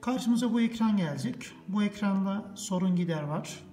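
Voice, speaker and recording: A man's voice: high voice, animated, normal speed, dark tone, faint music behind. A man hosting a casual step-by-step computer tutorial, repeating each step patiently for his viewers. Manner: patient, repeats steps, addresses viewers as friends. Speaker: tech tutorial host. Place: indoors at a desk, off camera.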